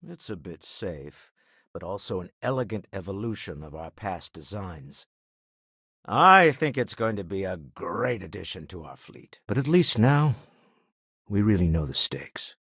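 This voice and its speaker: A wealthy older businessman for a video game. His voice is low and husky, moving expressively as he speaks in measured, refined phrases. He comes across as urbane, wry and composed. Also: sing-song, a bit bright, dry recording, studio quality, heavily acted. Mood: composed, reflective. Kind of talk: scripted video game character dialogue.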